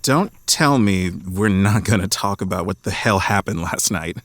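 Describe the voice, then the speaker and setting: An actor playing a frank, teasing, insistent friend in an audio drama, playfully blunt. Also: male voice, low-pitched, expressive, moderate pace, dry recording. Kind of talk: naturalistic audio drama acting.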